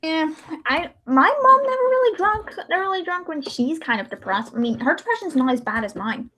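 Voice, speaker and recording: A woman with a high, very lively voice hosts a casual livestream. Her pitch swings freely as she chats in an informal, exclaiming manner. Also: fairly quick. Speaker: livestream host.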